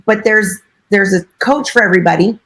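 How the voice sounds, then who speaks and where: A woman's voice: medium pitch, fairly quick, fairly bright tone. A middle-aged woman, a real estate broker and coach, giving chatty, earnest interview answers with frequent filler phrases.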